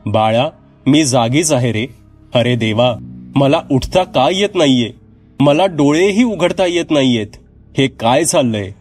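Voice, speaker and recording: A man's voice: medium pitch, lively intonation, unhurried, darkish tone, slightly rough, faint music behind. A man voicing a weak, bewildered mother who questions in a puzzled, distressed way in a horror tale. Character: weak, bewildered mother waking from a long sleep.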